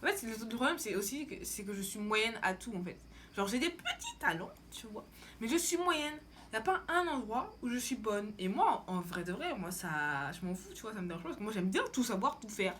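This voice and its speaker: A woman's voice: medium pitch, sing-song, brisk, a bit bright, a little hiss or hum. A young woman from France chatting casually as a vlogger, with an informal, slangy, rambling way of talking. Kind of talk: casual chat to camera.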